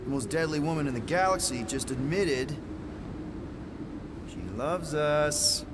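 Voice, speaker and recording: A man playing an easygoing, caring leader of space misfits in a video game, casual and gently joking. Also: a little high, unhurried, background noise, lightly acted. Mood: wry, upbeat. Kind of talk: natural video game acting. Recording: music behind the voice.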